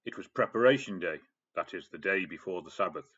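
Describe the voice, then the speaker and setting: A man's voice: deep voice, animated, fairly quick, a bit bright, slightly rough. A man narrating a dramatic scripture reading, on a dull lo-fi recording. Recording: dull lo-fi sound.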